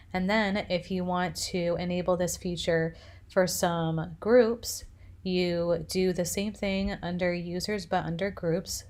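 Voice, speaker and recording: Lower-pitched woman narrating a software tutorial. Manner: step-by-step instructing. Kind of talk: instructional screencast narration.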